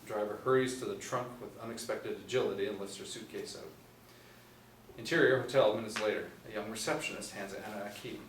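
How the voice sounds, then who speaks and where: A man's voice: on the low side, steady tone, fast. A man in his forties reading scripted stage directions as a narrator. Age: 40s. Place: small room indoors. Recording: roomy, distant mic, faint noise.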